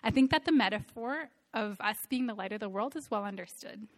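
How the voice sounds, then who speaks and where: A woman's voice: medium pitch, fairly quick. A woman in her thirties giving a church talk on mission, reading scripture aloud in an earnest, emphatic way. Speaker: missionary speaker presenting to a church.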